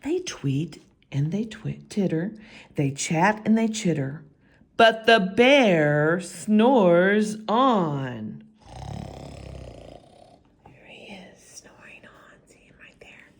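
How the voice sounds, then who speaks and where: A woman's voice: fairly low pitch, animated, drawn-out words. A woman reading a picture book aloud for children in a theatrical way, with whispered asides and stretched-out refrains. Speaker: children's story time reader.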